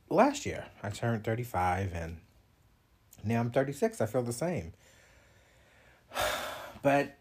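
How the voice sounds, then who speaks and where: Husky-voiced man hosting a podcast. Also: lowish voice, a little mellow. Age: 30s. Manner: chatty, reflective, laughs between thoughts. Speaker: podcast host. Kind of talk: casual solo podcast monologue.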